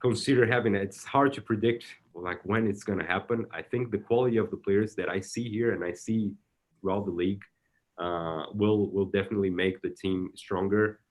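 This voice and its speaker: A man with a Brazilian Portuguese accent, a soccer technical director, answering interview questions in a halting way and often repeating words while he searches for the next one. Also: on the low side.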